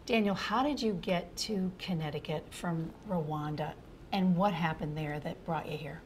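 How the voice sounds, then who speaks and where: Older woman, tv news host, slightly low voice, emphatic questions, faint studio hum. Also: moderate pace. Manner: warm, leading questions, emphatic. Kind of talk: TV studio interview.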